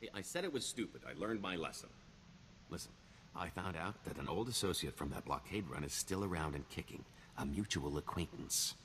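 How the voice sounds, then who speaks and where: A roguish con man from a video game. His deep voice moves freely up and down, wry and theatrical, hiding a wistful streak behind glib bravado. Clear background ambience sits behind him. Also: animated, a little fast, a bit bright, slight background noise. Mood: rueful, determined. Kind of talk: video game character dialogue.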